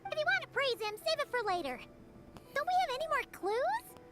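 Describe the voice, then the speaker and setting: A tiny fairy sidekick from a video game, with an extremely high, childlike voice that bounces around excitedly. Loud noise sits over the game audio. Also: a little fast. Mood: impatient. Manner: excitable, questioning.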